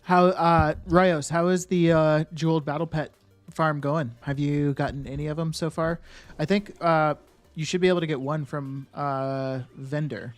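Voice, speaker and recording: A man with a slightly high voice chats loosely with his stream viewers. He thinks out loud, trails off and restarts his sentences, with soft music playing underneath. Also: fairly even intonation, normal speed, few pauses, no echo. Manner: thinks aloud and answers chat with many fillers and restarts. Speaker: game streamer. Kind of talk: casual live-stream chat.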